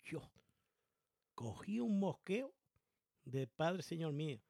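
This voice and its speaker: A man's voice: on the high side, sing-song, takes it slowly, creaky, dark tone, no room sound, clean studio sound. A man with a Canary Islands accent chatting in colloquial, anecdotal style, teasing and acting out bits of dialogue. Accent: Canary Islands Spanish. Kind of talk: casual anecdotal chat.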